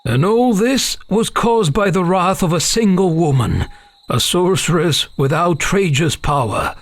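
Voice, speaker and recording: A man's voice: high-pitched, expressive, a little slow. A man playing an uneasy half-elven father who tells a dramatic tale to his young daughter in a fantasy audio drama. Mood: mysterious, grave. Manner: hesitant openings, dramatic storytelling.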